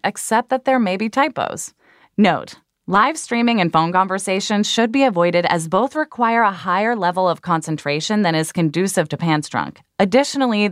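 Woman podcast co-host, lowish voice, teasing and dry, dead-dry studio sound. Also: dry recording. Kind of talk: casual chat and reading steps aloud.

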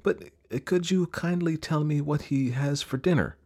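Give the storybook a little elephant child, played by a man, pitched up well above an adult's talk. The youngster is earnest and curious, with painstakingly courteous, wordy, pleading manners. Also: normal speed, darkish tone. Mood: polite, earnest. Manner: courteous, long-winded, pleading.

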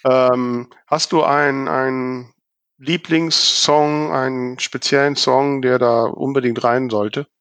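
A man's voice: mid-range voice, fairly even intonation, slow, a bit bright, no echo. A man hosting a podcast in casual, informal chat, with a joking, check-in way of talking. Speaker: podcast host.

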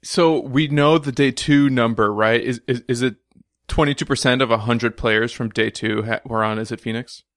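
A man's voice: medium pitch, steady tone, moderate pace, dry recording, clean studio sound. A man with a Russian accent co-hosting a podcast, analytical with joking asides. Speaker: podcast co-host. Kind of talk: casual podcast discussion.

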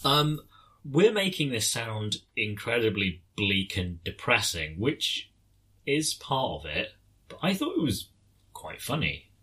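An English man with a very low voice discusses a film he has watched. He talks in a thoughtful, hesitant way, pausing and restarting as he gathers his thoughts. His tone has a bright, forward quality. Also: very animated. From England. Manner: thoughtful, hesitant, trails off mid-sentence.

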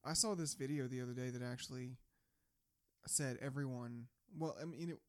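Blunt, swearing podcast host, mid pitch. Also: moderate pace, dry recording, clean studio sound. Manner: blunt, swears freely, teasing, laughs a lot. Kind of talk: casual podcast chat.

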